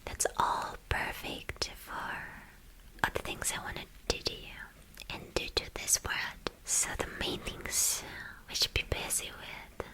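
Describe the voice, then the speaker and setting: A woman voicing a mad, cruel young villainess kidnapper in a whispered ASMR roleplay, coaxing and menacing in a breathy whisper. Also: bright, forceful tone, rough recording. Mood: gleefully scheming. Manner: slow, coaxing, repeating words for menace.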